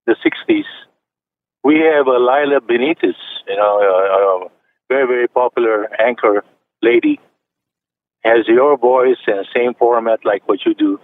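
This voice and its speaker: A man's voice: mid-range voice, a little slow. A man in his late seventies from China, calling in to a radio show and chatting with many fillers and restarts. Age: 70s. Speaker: caller. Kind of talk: phone-in chat. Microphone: telephone. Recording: tinny phone line.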